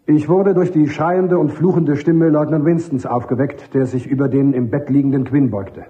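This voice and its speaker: A man's voice: on the high side, steady tone, moderate pace, dark tone, dull audio, close to an everyday voice. A man playing a dutiful army sergeant on the witness stand in a German radio play, reporting in a clipped, deferential, factual way.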